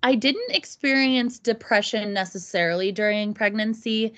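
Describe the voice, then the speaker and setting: A woman's voice: fairly high pitch, normal speed, a bit bright, lo-fi sound. A woman in her thirties, a podcast guest, talking through her own experiences in an earnest, reflective way. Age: 30s. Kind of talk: casual conversation.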